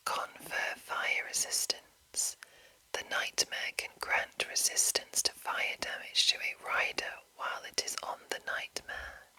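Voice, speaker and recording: A woman speaking in an unvoiced whisper, hushed and deliberate, as an ASMR host reading aloud from a game book. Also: rough recording. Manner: hushed, slow, deliberate enunciation.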